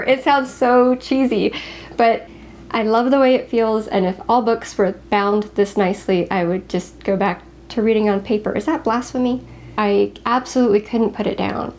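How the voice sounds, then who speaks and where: A woman co-hosting a casual book chat, talking in an expressive, confiding way. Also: fairly high pitch, slight background noise. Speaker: co-host of a book review show.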